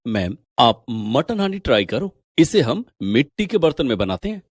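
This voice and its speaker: A woman's voice: extremely low pitch, big pitch swings, moderate pace, darkish tone, husky, no echo, studio quality, voice pushed down, exaggerated voice. A woman voicing a polite male restaurant waiter in a storybook tale. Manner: polite, deferential.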